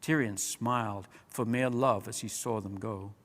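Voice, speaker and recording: A man reading a story aloud as an expressive audiobook-style narrator. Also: fairly low pitch, measured pace. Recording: long hall-like reverb.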